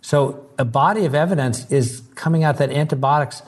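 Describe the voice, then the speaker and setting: A man's voice: fairly high pitch, brisk. A man speaking as a doctor and interview guest, earnest, often repeating words as he gets started. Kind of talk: conversational interview.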